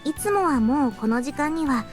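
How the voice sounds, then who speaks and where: A high, quick-spoken teenage shrine girl with gentle, formal manners. She is polite and apologetic, in the manner of a Japanese visual novel heroine, with faint music underneath. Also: expressive. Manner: soft, formal and courteous.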